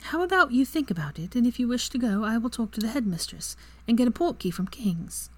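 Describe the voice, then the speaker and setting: A woman voicing a bright, eager young witch in a higher, lively voice that often drops to a hushed whisper. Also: brisk. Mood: affectionate.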